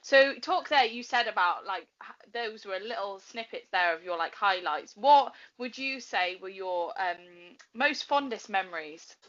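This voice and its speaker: A woman's voice: medium pitch, expressive, unhurried, hardly any pauses, a bit bright. A woman, interviewing in a casual, chatty style with enthusiastic reactions. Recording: dull, lo-fi audio.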